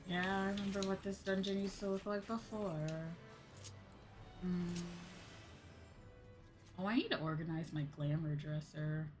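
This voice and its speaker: A woman's voice: on the low side, steady tone, measured pace, long pauses, music in the background. A woman streaming a game and chatting casually, with a sweary, playfully whiny and emphatic manner. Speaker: streamer, VTuber host.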